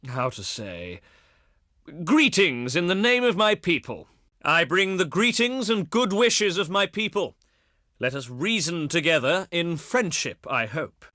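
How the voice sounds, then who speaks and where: An actor playing an ancient Greek envoy in a strategy game, with formal, pious, honey-tongued and declamatory delivery. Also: male voice, on the high side, sharp tone, no echo, studio quality. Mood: curt, impatient. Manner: declamatory, ceremonious, deliberate phrasing. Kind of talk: theatrical scripted game dialogue.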